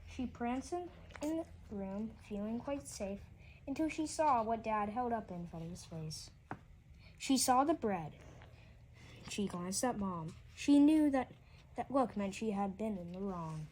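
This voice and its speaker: A woman's voice: fairly high pitch, lively intonation, measured pace, darkish tone, a little hiss or hum, poor audio. A woman reading a rhyming children's story aloud, often stumbling and repeating words as she goes.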